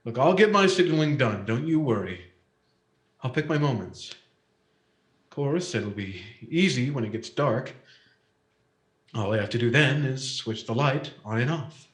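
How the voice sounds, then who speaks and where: A man's voice: medium pitch, animated, unhurried, pauses often, close to an everyday voice. A man playing a smug, sneering gunman. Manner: smug, sarcastic, tough-guy drawl. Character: cool, sneering gunman holding a hostage. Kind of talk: 1950s radio drama acting. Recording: roomy echo.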